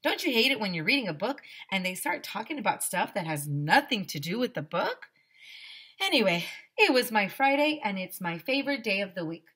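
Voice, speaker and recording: Woman, bright clear-cut voice, teacher read-aloud, expressive, playful, speaking straight to kids. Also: mid-range voice, a little fast. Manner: expressive, playful, addresses young listeners directly. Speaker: teacher reading aloud to pupils. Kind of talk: animated children's read-aloud.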